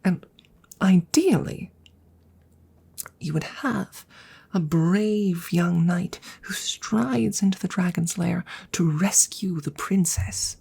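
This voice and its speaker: An ancient female dragon , acted by a woman, speaks in a very breathy, husky voice that often sinks to a whisper. Her delivery is slow and low, with gravelly creak at the edges. She is courtly and wordy but faintly awkward, hesitating through her formal phrases in an intimate ASMR roleplay. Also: warm tone, heavily acted. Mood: musing, courteous. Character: courtly, awkward centuries-old female dragon in human guise. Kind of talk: soft-spoken ASMR roleplay.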